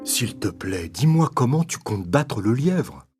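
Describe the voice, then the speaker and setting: A man voicing a doubtful, concerned forest animal in an animated children's story. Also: medium pitch, a little slow, over loud music.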